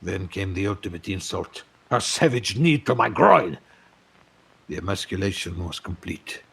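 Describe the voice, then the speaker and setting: A man's voice: low-pitched, lively intonation, normal speed, gravelly, a bit bright, breathy edge, heavily acted. A man playing a wealthy, pompous French film producer, lovesick and vengeful, telling his story in florid, melodramatic audio drama. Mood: wounded rage. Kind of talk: dramatic first-person storytelling in audio fiction.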